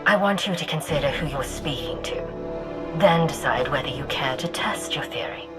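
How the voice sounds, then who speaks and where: An actress playing a cold, commanding, quietly menacing Imperial agent in a video game cutscene. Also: female voice, low-pitched, expressive, normal speed, loud music behind the voice. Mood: cold menace.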